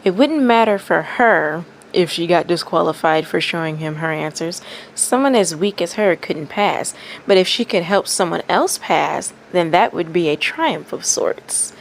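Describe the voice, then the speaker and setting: Casting: woman with a slightly low voice, informal story-time reader, faint noise in the recording. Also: lively intonation, a little slow, creaky, poor audio. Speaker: fan fiction reader and commentator. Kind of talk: casual story-time talk and read-aloud narration.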